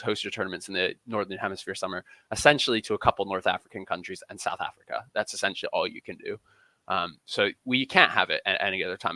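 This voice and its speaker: Bright, low-pitched man in conversation. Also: no echo. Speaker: interview guest, football journalist. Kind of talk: casual interview conversation.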